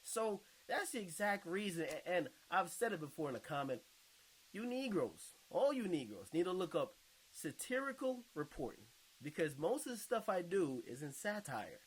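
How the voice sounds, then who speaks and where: A man's voice: high-pitched, sing-song, normal speed, husky, rough recording. A man giving casual reaction commentary in a blunt, mocking, slangy way, as if talking straight to his viewers.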